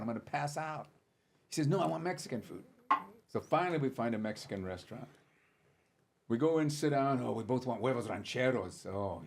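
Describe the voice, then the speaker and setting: Husky older man telling dry stories. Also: medium pitch. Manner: dry, matter-of-fact storyteller who acts out the voices in his anecdotes. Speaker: comedian guest. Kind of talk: casual podcast storytelling.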